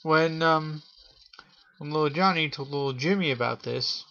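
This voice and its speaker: A man hosting a Halloween story show, telling a spooky tale in a theatrical showman's manner with drawn-out pauses. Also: a little high, bright, forceful tone. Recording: dull lo-fi audio with clear noise.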